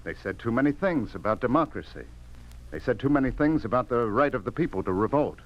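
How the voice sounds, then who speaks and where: A man's voice: medium pitch, expressive, a little fast, natural voice. An actor playing a weary, cynical newspaper editor in a 1950s radio drama, explaining things with resignation to his boss, on a muffled recording. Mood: resigned.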